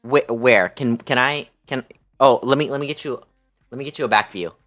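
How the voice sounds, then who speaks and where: A woman livestream host telling a story casually, in an animated, incredulous, slangy way. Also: rumbling low, normal speed, soft background music.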